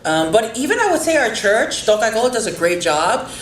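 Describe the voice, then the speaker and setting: A woman's voice: on the high side, very animated, fairly quick. A woman hosting a podcast, talking earnestly and encouragingly about faith and family. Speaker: podcast host. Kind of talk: casual interview chat. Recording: roomy with faint background noise.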